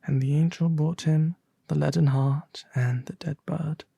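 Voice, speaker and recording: A man playing a melancholy, genteel owl demon prince, reading a storybook aloud in a soft, refined, breathy ASMR voice. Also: fairly high pitch, steady tone, a little slow, dry recording, exaggerated voice. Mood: gentle, sombre. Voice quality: breathy, soft, often half-whispered. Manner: refined, gentle, formal diction.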